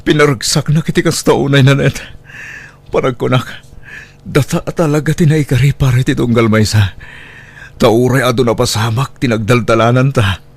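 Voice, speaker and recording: A man's voice: mid-range voice, fairly even intonation, normal speed, husky. An actor playing an affectionate single father courting his first love in an Ilocano radio drama, tender and earnest, with an Ilocano accent.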